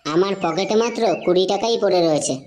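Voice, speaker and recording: Woman playing fast-talking foul-mouthed young cartoon guy. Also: lowish voice, a crowd in the background, lightly acted. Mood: crude banter. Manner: foul-mouthed, slangy banter. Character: crude, excitable young male slacker, easily panicked. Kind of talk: comedy cartoon dialogue.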